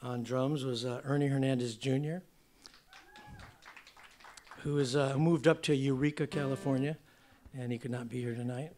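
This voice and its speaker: An older man, slightly hoarse, introducing his song in casual, rambling stage talk with many fillers. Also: mid-range voice, steady tone, moderate pace, pauses often, darkish tone, slight background noise. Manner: rambling, filler-heavy, chatty. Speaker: singer-songwriter. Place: theater stage at a museum event.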